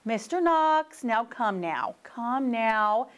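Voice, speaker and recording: A woman reads as a playful storybook fox. The voice is high and swings widely in pitch, coaxing and insistent as it delivers tongue-twisting patter. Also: expressive, takes it slowly.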